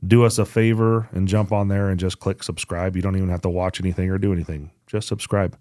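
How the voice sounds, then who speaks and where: A man with a low-pitched voice speaks on a dry, close recording. He co-hosts a podcast and runs through product news in an informal, conversational style, as if talking straight to regular listeners. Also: little pitch movement, fast, darkish tone, no room sound. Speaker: podcast co-host. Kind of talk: casual podcast product update.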